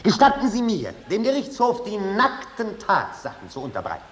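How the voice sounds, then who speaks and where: An actor playing a pompous, indignant lawyer, orating unctuously in a 1950s German radio drama on a slightly hissy recording. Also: male voice, sits high, huge pitch swings, moderate pace. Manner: oratorical, unctuous.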